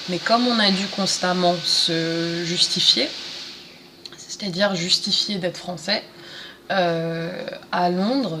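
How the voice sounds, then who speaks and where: A woman's voice: on the low side, background noise. A woman, answering interview questions casually and hesitantly, with many fillers and restarts. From France, Paris suburbs. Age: 20s. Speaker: interview guest.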